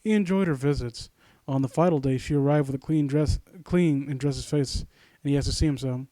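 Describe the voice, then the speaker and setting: A man's voice: mid-range voice, brisk, warm tone, no room sound. A man giving casual, blunt commentary as he reads and critiques a story, often stammering and rephrasing, with some swearing. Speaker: host of a story critique series. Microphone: new handheld microphone, uneven distance, voice drifts soft. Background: music in the background.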